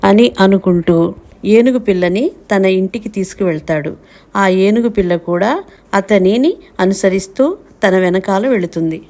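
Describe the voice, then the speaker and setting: A woman's voice: mid-range voice, measured pace, dark tone. A woman giving scripted narration of a children's story.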